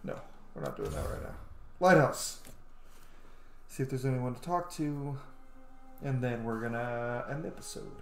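A man's voice: medium pitch, animated, moderate pace, pauses often. Echoey man doing casual gaming commentary. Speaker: gaming video host. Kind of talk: casual let's play commentary. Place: small room indoors at home. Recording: roomy echo, faint background noise.

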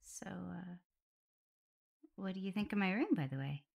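A woman acts out a tender omega werewolf girl in an intimate ASMR roleplay. Her voice is fairly low for a woman, with some fry in it. She speaks softly and soothingly, with a caring, playful and slightly hesitant manner. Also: unhurried. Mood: chatty, playful. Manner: soft, soothing, hesitant, giggly. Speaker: audio roleplay performer. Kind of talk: soft ASMR roleplay, intimate one-sided dialogue.

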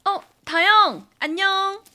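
An actress playing a gentle, hesitant young woman who is a senior colleague about to leave her job, in an amateur audio drama. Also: very high voice, takes it slowly, exaggerated voice. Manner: soft, hesitant, friendly.

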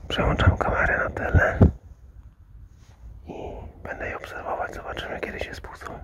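Man whispering while stalking outdoors. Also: moderate pace. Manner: hushed whisper while sneaking up. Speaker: nature vlogger stalking wild boar. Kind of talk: first-person outdoor narration while walking. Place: snowy park outdoors in winter. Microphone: handheld camera mic, filming point of view.

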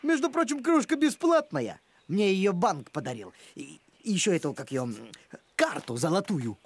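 An actor voicing a simple-hearted, excitable male talking dog in a cartoon, naive and a little boastful. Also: medium pitch, moderate pace, noisy background. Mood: naive, proud. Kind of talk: animated cartoon voice acting. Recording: loud music behind the voice.

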